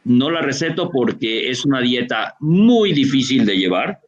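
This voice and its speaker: A Colombian man with a Barranquilla accent speaks as a doctor, explaining at length and pausing often on fillers. His voice sits at a medium pitch. The sound is dull and lo-fi, as on a video call. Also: very animated. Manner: explanatory, many fillers and restarts. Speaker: gastroenterologist lecturer. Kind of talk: online medical Q&A answer.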